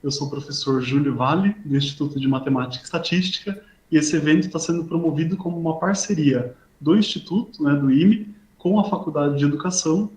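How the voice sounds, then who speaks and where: A Brazilian man opens an online academic event as its host, speaking in a slightly high voice. His delivery is semi-formal and courteous, peppered with small verbal tags. The sound is roomy and echoing. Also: fairly quick, a little mellow. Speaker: host, university professor introducing an event. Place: indoors, online video call.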